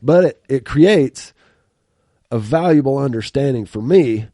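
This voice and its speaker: Country podcast guy with a stuffed-up nose, mellow dark tone, dry studio sound, chatty and laughing a lot. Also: mid-range voice, expressive, a little slow, no echo. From rural small-town America. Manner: folksy, talkative, laughs often. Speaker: podcast host. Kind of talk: casual interview chat.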